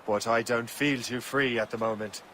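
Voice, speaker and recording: A man's voice: mid-range voice, steady tone, moderate pace, very noisy, natural voice. A man playing a cocky, wisecracking young Irish assassin and sailor in a video game cutscene, with cheeky, boastful banter. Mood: mocking.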